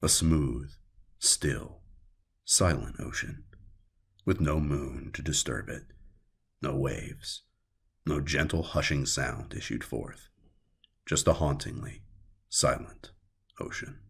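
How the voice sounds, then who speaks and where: A male narrator reads dark fiction in an extremely low, very husky and gravelly voice. His delivery is slow, measured and brooding, broken by deliberate pauses. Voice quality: gravelly, raspy. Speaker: audiobook narrator. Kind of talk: dramatic scripted horror narration.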